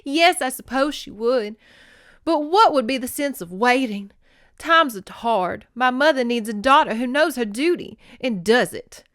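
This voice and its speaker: A woman's voice: high-pitched, animated, measured pace, fairly bright tone, no echo, voice pushed up. Earnest young princess acted by a woman, nervous but resolute, formal declamatory speech, clean dry sound, romance storybook reading. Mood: anxious, resolute. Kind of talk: dramatic romance novel reading.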